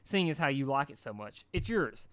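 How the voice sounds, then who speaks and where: Man putting on a smug high-pitched griffon girl. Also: big pitch swings, normal speed, fairly bright tone, no room sound. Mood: smug, falsely sweet. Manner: smug, feigned sweetness, demanding. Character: smug, scheming female cartoon griffon.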